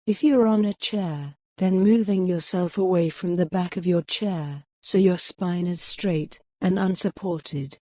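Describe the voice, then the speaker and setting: A woman narrating a guided meditation in a measured, instructional manner, pausing gently between phrases. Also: on the low side, no room sound, clean studio sound.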